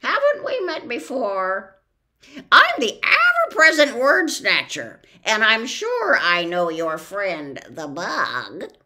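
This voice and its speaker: A woman voicing a gleeful, interrupting male bird who cackles and talks loudly. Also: mid-range voice, wildly swooping pitch, slow pace, exaggerated voice. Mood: gleeful, shrill. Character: shrill gleeful male word-snatching bird.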